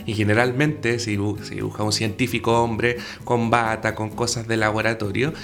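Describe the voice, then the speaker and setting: A man's voice: fairly low pitch, level tone, moderate pace, creaky, fairly bright tone, soft background music. A man with a Chilean accent giving earnest, explanatory answers as an interview guest. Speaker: guest, secondary school science teacher.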